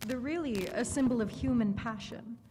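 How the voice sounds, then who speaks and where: Reverberant hall, woman acting mysterious temperamental young woman, soft-toned, dreamy, intense, radio play. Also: fairly high pitch, moderate pace, slight background noise, close to an everyday voice. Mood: dreamy, alluring. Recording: echoey hall with long reverb.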